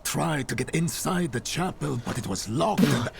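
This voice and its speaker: A man playing a weary, grim survivor in a dark fantasy game cutscene, speaking gravely and earnestly over background noise. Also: fairly high pitch, expressive, normal speed. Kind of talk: video game cutscene dialogue.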